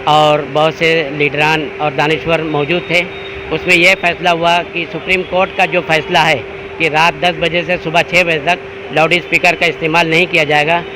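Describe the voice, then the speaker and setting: Male community representative, monotone, slightly high pitch, formal statement, noisy street. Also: moderate pace. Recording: clear outdoor traffic noise.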